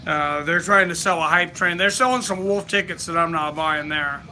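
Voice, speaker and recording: A man hosts a live stream in a loose, chatty way, reading viewer comments and pushing people to hit the like button. His voice sits high for a man. It has a very bright, sharp edge. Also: moderate pace, slight background noise. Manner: chatty, reads viewer comments aloud, urges likes.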